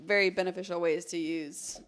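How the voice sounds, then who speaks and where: A woman's voice: lowish voice, steady tone, a little fast. A woman co-hosting a podcast, telling true-crime stories in an informal, conversational way. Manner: informal, chatty, acts out imagined remarks. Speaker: podcast co-host.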